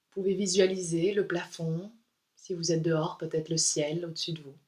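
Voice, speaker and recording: A woman yoga instructor leading a guided meditation in a calm, soothing, unhurried way with pauses. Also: on the low side.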